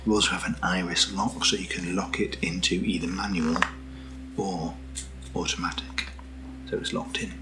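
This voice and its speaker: British guy, deep voice, soft breathy asmr whispering, chatty nerdy product first look, music in the background. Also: steady tone, moderate pace, low-quality recording. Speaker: ASMR host.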